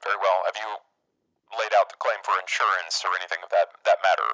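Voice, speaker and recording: Man playing a deep, formal, awkward vampire. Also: fairly even intonation, pushed and bright, no room sound, low-quality recording. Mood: dry, businesslike. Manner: halting, formal, earnest. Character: Duke, big, formal, socially awkward male vampire. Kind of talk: improvised tabletop roleplay, phone conversation.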